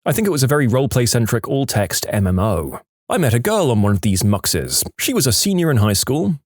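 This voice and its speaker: A man's voice: medium pitch, big pitch swings, brisk, no room sound, clean studio sound. A man narrating read-aloud online stories in a scripted storytime style, as the host of a channel.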